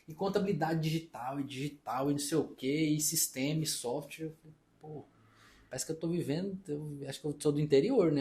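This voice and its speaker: Fast-talking Brazilian man telling stories. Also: a little high, a little mellow. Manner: fast-talking, storytelling, reenacts dialogue. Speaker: accountant and business partner. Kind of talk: casual podcast conversation.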